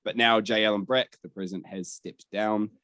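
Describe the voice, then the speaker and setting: A man's voice: fairly low pitch, fairly even intonation, fairly quick, sharp tone, dry recording. A man hosting a gaming podcast, talking through the news in a candid, sweary, conversational way. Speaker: podcast host.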